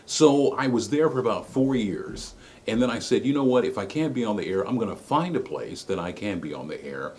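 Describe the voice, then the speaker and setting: Middle-aged male ex-broadcaster: low, smooth voice, highly varied intonation, earnest and emphatic personal appeal. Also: expressive, brisk, darkish tone, slight background noise. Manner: earnest, emphatic, repeats phrases for effect. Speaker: former radio broadcaster. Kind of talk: personal story and plea to camera.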